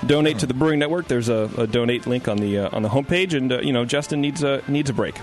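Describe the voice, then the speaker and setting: A man's voice: medium pitch, fast. Man, radio host, casual, joking, rambling, studio, faint music. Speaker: podcast host.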